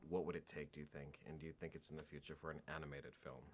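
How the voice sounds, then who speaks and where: A man's voice: a bass voice, flat delivery, rapid-fire. A man interviewing guests for an awards website, asking loose, conversational questions.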